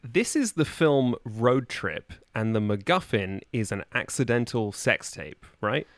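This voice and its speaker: A man's voice: mid-range voice, sing-song, measured pace, no room sound. A man chatting casually and jokingly as a contestant on a podcast quiz. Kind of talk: casual comic chat.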